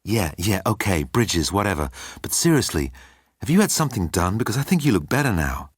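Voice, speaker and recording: A man's voice: low voice, sing-song, fairly quick, breathy edge, dry recording, studio quality, full character voice. A man voicing a flippant, self-absorbed galactic president with a breezy, chatty manner in an audiobook. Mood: dismissive, chatty.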